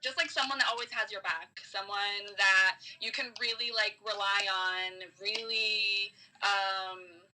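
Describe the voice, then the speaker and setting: A woman doing a casual spiritual card reading as a podcast guest, explaining in a halting, giggly, conversational way. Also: medium pitch, steady tone, sharp tone, low-quality recording. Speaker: tarot reader, podcast guest.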